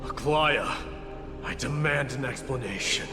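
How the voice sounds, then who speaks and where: Male actor as indignant young fantasy game royal, slow, forceful delivery, heavy reverb. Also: medium pitch, close to an everyday voice. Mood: demanding. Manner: forceful, demanding, formal. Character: proud, indignant young warrior prince. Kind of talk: dramatic video game voice acting. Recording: long reverb, music behind.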